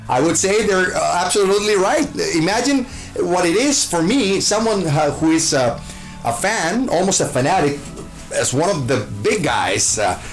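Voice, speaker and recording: A man's voice: high-pitched, sing-song, normal speed, soft background music, poor audio. A man from Costa Rica, a show host, answering interview questions with gushing enthusiasm, full of fillers and restarts.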